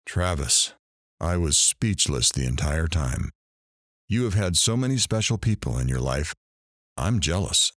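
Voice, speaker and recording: A man's voice: rumbling low, sing-song, a little slow, fairly bright tone, dry recording, clean studio sound, exaggerated voice. A man playing a candid, earnest radio talk show host in an audio drama. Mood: admiring, wistful.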